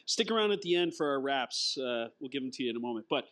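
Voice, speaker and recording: A man's voice: mid-range voice, lively intonation, fast, fairly bright tone. A man moderates a fireside chat on a conference stage in front of an audience. He talks hesitantly, with plenty of fillers and restarts, and drops dry jokes into his questions.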